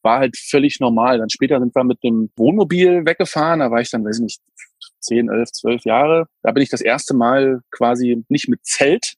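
A man's voice: medium pitch, normal speed, darkish tone, slightly rough, no room sound. A man, chatting as a podcast guest, rambling with lots of fillers and restarts. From Berlin, Germany. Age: 30s. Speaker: podcast guest, camper van renter. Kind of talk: casual interview chat.

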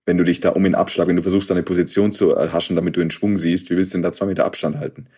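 A Franconian man chats on a podcast with a very deep, husky voice. He speaks earnestly and often restarts his sentences. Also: level tone, rapid-fire, warm tone. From Franconia, Germany. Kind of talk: casual chat.

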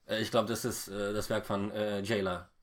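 A man talking casually as he recaps a story in a halting, stammering way with many false starts. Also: on the low side, flat delivery, over a noisy crowd. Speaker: podcast co-host recapping a film. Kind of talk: casual unscripted plot recap.

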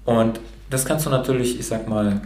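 A Romanian-born man raised bilingual in Germany talks with a rolled R, frank and loose, dropping curse words and fillers. His voice sits at an ordinary pitch for a man. The recording carries a noticeable room echo. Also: fairly even intonation, moderate pace, darkish tone. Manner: frank, with casual swearing and many fillers. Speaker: podcast host being interviewed. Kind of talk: casual motivational talk.

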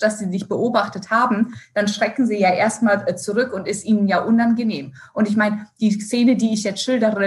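A woman from Brazil, a finance executive, answering interview questions in a thoughtful way, with many fillers and restarts and some English words mixed in. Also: mid-range voice.

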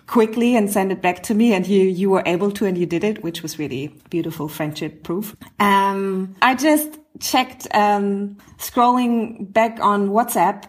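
A woman in her forties hosts a casual podcast in a mid-range voice. She talks in a chatty, wandering way, working out her thoughts as she speaks. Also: medium pitch. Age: 40s. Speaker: podcast host.

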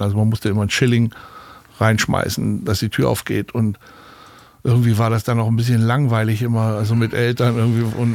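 An older man in his sixties, originally from Berlin, talks in a gravelly, creaky voice at a middling pitch. He tells stories in a relaxed, chatty way, full of fillers and restarts. The sound is dry and close, with no room around it. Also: dry recording. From Berlin, Germany. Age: 60s. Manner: chatty storyteller, many fillers and restarts, laughs easily. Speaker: arena manager, guest. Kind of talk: relaxed interview talk.

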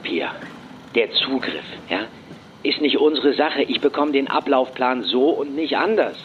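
A man's voice: mid-range voice, lively intonation, unhurried, close to an everyday voice. Curt, impatient policeman on a dull line actor. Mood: evasive, dismissive. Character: evasive, impatient male police superior, woken at night. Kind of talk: German audio drama acting. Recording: dull phone line, faint noise.

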